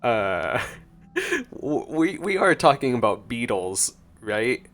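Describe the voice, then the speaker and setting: A man's voice: medium pitch, very animated, takes it slowly, fairly bright tone, soft background music. A man streaming gameplay with casual reaction commentary, sometimes reading dialogue aloud.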